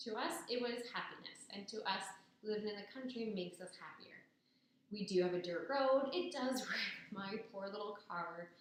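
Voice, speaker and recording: Raspy woman, very echoey. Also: mid-range voice, a little hiss or hum. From Ontario, Canada. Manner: chatty, upbeat, persuasive. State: hoarse after a cold. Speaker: business student. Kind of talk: persuasive speech to camera. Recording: very echoey, roomy sound.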